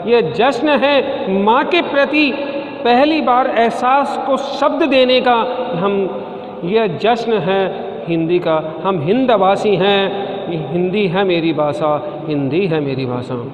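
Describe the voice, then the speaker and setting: High-voiced man declaiming slowly in an echoing hall. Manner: declamatory, chants repeated refrains with rising emphasis. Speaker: speaker at a college Hindi Day function. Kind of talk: formal stage speech with poem recitation. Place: event at a college courtyard. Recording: echoey, dull lo-fi sound with long reverb and faint hiss.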